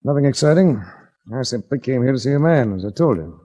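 An actor playing a cool, wry insurance investigator in a 1940s radio drama, with dry, understated, clipped delivery. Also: male voice, medium pitch, lively intonation, fairly quick, dark tone, lightly acted. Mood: cool, unruffled. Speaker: lead actor and narrator. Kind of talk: 1940s radio detective acting.

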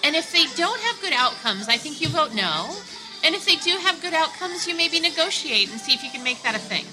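Middle-aged woman, wry deadpan candidate, slightly high voice, music underneath. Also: fairly quick, poor audio. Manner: dry, wry humor. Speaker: congressional candidate, guest. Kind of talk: casual comedic interview. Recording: music in background.

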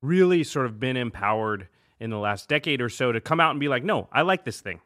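Guy podcast host, bright punchy voice, very dry close sound, just chatting. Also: mid-range voice, animated, a little fast, no echo. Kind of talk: casual conversation.

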